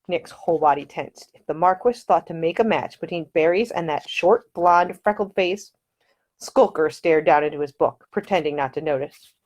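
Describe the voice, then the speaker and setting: A woman's voice: low-pitched, normal speed, a little mellow. A woman reading her own historical novel aloud as scripted audiobook narration.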